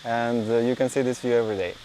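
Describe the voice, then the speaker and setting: A man's voice: medium pitch, steady tone, fast, warm tone, rough recording. Man interviewing casually amid background noise. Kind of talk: casual chat.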